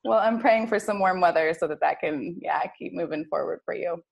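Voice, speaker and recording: Female call facilitator, lowish register with marked vocal fry, casual and openly encouraging. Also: fairly quick, highs cut off. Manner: affirming, effusive, informal with frequent "like" and "awesome". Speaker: facilitator and host of a group call. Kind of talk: casual group check-in chat.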